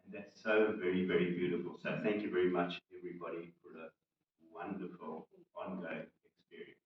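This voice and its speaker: A man giving an informal testimonial at a retreat, building his thoughts deliberately phrase by phrase. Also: sits low, level tone, background noise. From South Africa. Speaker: retreat participant sharing a testimonial. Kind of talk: informal public sharing. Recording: echoey hall, distant dull mic.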